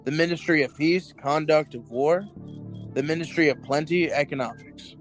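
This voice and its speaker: An actor playing an anxious, obedient party worker with hidden doubts in a radio drama, earnest and dutiful. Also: male voice, on the high side, moderate pace, faint music behind, lightly acted, low-quality recording. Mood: dutiful, nervous.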